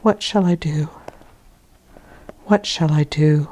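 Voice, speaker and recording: A woman's voice: sits low, takes it slowly, dark tone, low-quality recording. A woman voicing a lonely, sorrowful little girl in a storybook, wary but bold, speaking in a plain, guarded way. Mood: despairing, hushed.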